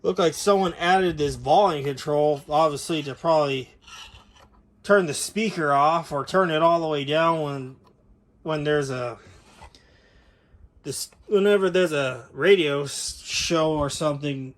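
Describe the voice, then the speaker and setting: A man's voice: a little high, measured pace. An American man giving a casual, unscripted walkthrough in a rambling, folksy, conversational way. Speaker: vlogger showing vintage audio gear.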